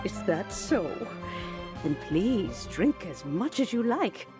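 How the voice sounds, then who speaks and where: Slow-spoken, kindly old lady. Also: medium pitch, sing-song. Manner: gentle, courteous, reflective. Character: kindly, serene elderly woman, gently teasing. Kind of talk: video game voice acting. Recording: music under the voice.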